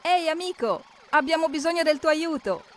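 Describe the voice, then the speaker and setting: A woman's voice: high voice, animated, brisk, a little hiss or hum. A woman narrating a children's cartoon, expressively asking questions and talking straight to the characters.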